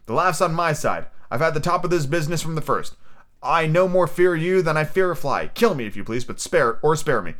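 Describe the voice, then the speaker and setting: A man's voice: a little high, animated, a little fast, a bit bright, pitched above the performer's own voice. A man voicing a bold, defiant boy hero in a pirate adventure story, earnest and declaiming. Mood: bold, excited.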